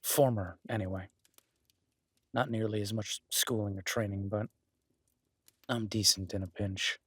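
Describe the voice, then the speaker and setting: A man playing a solitary, kindly cabin dweller and former medic in an intimate audio roleplay, speaking haltingly with dry teasing and reassurance. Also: on the low side, steady tone, breathy edge, no room sound. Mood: wry, relaxed. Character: gruff-kind solitary cabin-dwelling former EMT, awkward, teasing, protective.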